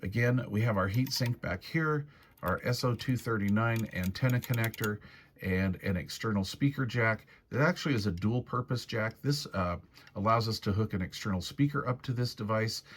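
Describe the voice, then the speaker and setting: A man giving a casual, hands-on product review walkthrough. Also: lowish voice.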